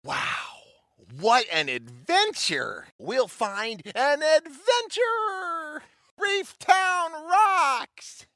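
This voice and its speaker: Enthusiastic young adventurer for kids' animation, slightly high, lively, eager. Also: female voice, extremely slow, heavily acted. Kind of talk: children's cartoon and game voice lines.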